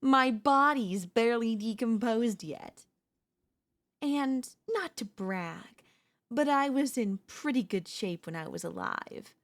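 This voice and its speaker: A woman's voice: fairly high pitch, animated. A woman voicing a chatty, teasing zombie in a comedic audio roleplay, talking casually and playfully straight to the listener. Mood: smug, boastful. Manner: casual, playful, taunting, slangy, drawn-out delivery. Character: chatty, teasing, cocky female zombie hunting a human survivor.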